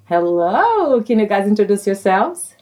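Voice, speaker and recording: A woman in her forties from Brazil, speaking English with a Brazilian Portuguese accent and hosting a podcast in an earnest, measured way. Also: medium pitch, sing-song, a little mellow, no room sound. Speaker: podcast host. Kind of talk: scripted podcast intro and casual chat.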